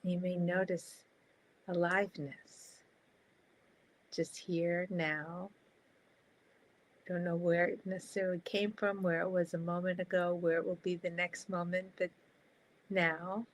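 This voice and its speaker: A woman guiding a meditation in a calm, soft, spacious manner, leaving long pauses between short phrases. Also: fairly low pitch, rough recording. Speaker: meditation guide.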